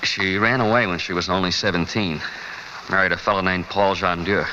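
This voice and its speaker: A man's voice: deep voice, steady tone, fairly quick, natural voice. An actor playing an earnest, grieving American man in a 1940s radio drama. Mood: subdued, sorrowful. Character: grieving, earnest brother of a dead woman. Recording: dull, faintly noisy old radio recording.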